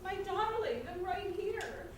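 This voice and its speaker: Emotional grieving diva, played by a woman, very high and pleading, recorded distantly in a theater. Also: lively intonation, slow pace, exaggerated voice. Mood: distraught. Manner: overwrought, pleading. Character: emotional theatrical actress grieving over a dying man. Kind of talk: staged reader's theatre comedy. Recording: echoey hall, distant, clear noise.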